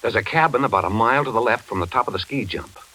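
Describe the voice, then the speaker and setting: A man's voice: medium pitch, expressive, fast, pushed and bright, rough recording. An older American man playing an easygoing, reluctant retired investigator in 1940s radio suspense style, folksy and reassuring. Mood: serious, confiding.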